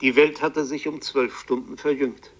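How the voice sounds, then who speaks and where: An actor playing a haunted Parisian bookseller, narrating his fantastical ordeal in a literary, deliberate and intense radio play style. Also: mid-range voice, fairly even intonation, muffled recording, lightly acted. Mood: fatalistic, dramatic. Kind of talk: dramatic first-person radio play narration.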